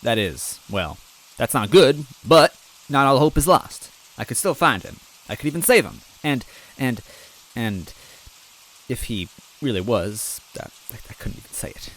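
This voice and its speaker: A man reading a first-person story aloud in a soft, wistful, gently comic way. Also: medium pitch, animated, unhurried, poor audio.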